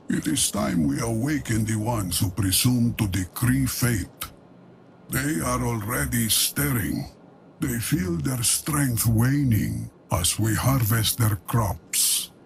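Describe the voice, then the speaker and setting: An actor playing a scheming older male warlord, speaking in a grave, measured, ominous way. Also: fairly low pitch, creaky, slight background noise, full character voice. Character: scheming senile old male warlord, secretive.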